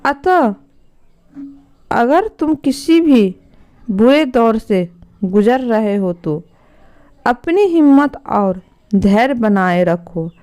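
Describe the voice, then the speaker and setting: Woman as wise king and father, patient, instructive, authoritative, smooth clear voice, unhurried, storybook. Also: a little high, animated, dark tone. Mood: calm, advising.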